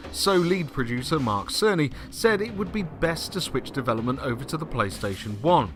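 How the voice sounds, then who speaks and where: A man's voice: fairly high pitch, a bit bright. A man presenting a retro game review in scripted voice-over, conversational with lightly wry asides. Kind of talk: scripted narration over video. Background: music.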